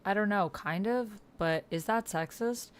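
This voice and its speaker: A woman hosting an online roleplay, chatting casually and thinking out loud, sometimes reading typed chat aloud. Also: medium pitch, measured pace. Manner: musing aloud to herself. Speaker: host of an online text roleplay playthrough. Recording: keyboard typing, clear background noise. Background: computer keyboard typing.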